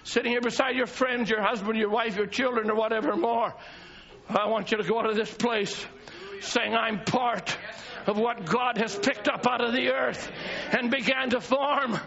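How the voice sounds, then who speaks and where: A man preaching a fiery sermon to his church congregation, often shouting in a high, strained voice. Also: fairly even intonation, moderate pace, creaky. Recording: dull lo-fi church recording with congregation.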